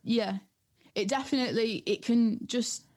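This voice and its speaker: A young woman with a North East English accent chatting casually as a music producer, informal and halting, often saying "like". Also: a little high, darkish tone, no echo, clean studio sound. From North East England. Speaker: music producer and artist, interview guest. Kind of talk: casual chat.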